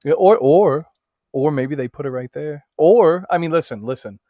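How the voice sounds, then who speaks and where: Brash, profane man with a higher voice. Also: moderate pace, dry recording. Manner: brash and profane, with sudden loud jumps. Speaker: livestream co-host. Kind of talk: casual livestream chat.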